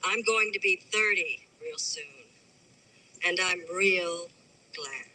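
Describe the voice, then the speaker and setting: A woman's voice: lowish voice, takes it slowly, sharp tone, slight background noise, heavily acted, poor audio. An actress playing a worldly female dancer about thirty, speaking in a languid, sultry drawl.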